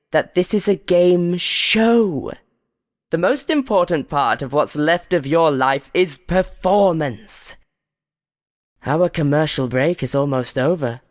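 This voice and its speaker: A woman's voice: lowish voice, big-room sound. A woman voicing a cruel, haughty game show host in a horror story, switching between theatrical announcer tones and contempt.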